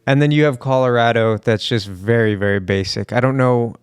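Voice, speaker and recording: Smooth-voiced curious American man. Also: medium pitch, brisk, no echo. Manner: curious, asks questions. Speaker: podcast co-host. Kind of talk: casual chat.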